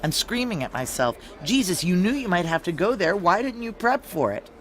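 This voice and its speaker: An actor playing a determined, tense security chief, narrating a noir audio drama in a clipped, urgent, hard-boiled manner. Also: male voice, high-pitched, sing-song, brisk, noisy background. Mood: frantic self-reproach. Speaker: narrator and lead character.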